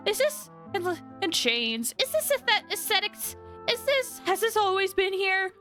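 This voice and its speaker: A woman streamer giving casual, reactive, expressive commentary on a live game. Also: extremely high pitch, unhurried. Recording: game music behind the voice.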